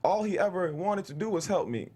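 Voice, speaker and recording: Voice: mid-range voice, animated, fairly quick, darkish tone, natural voice. An actor in a comedy table read playing a grieving, awkward, sweetly clueless fly. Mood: earnest.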